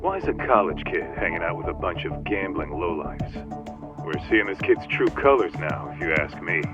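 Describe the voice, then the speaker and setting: A gruff game detective with a very low, lively voice. He is cocky and blunt, talking like a street tough, with music playing clearly behind him. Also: moderate pace, dull audio. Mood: blunt, skeptical. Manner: brash, blunt, colloquial. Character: gruff, cocky, brawny male detective partner. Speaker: voice actor in a video game. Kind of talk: dramatic game cutscene dialogue, English dub.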